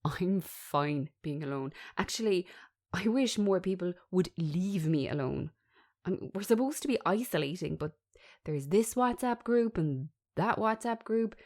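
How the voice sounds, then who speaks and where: A woman's voice: fairly low pitch, lively intonation, unhurried, darkish tone. A woman voicing an irritable, defensive young man fed up with lockdown, grumbling bluntly in a script reading.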